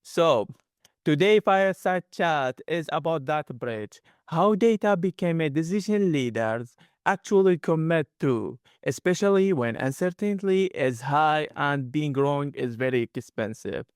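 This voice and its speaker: Slightly high-voiced man hosting a chat. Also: lively intonation, measured pace, clean tone, no room sound, clean studio sound. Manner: reads prepared questions, nonstandard grammar. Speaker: host.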